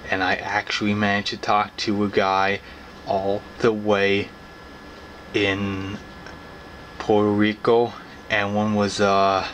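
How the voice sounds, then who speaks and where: A young man with a low, quite flat voice explains things casually to camera. He rambles along in an informal, folksy way. Clear ambient noise sits behind his voice throughout. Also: takes it slowly, long pauses. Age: young adult. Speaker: hobbyist vlogger. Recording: clearly noisy background ambience.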